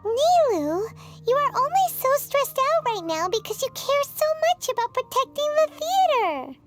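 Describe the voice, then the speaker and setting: A woman's voice: very high-pitched, big pitch swings, unhurried, exaggerated voice. A woman voicing a tiny, eager floating companion who cheers her friends on, in a game cutscene. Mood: encouraging. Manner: eager, encouraging, bouncy. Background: game music.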